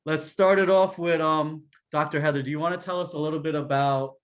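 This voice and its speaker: A young man moderates an online alumni panel, talking his guests up in a loose, friendly way full of asides. His voice sits a little high for a man. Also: fairly even intonation, fairly quick. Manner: chatty, informal, joking asides. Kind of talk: casual panel introductions.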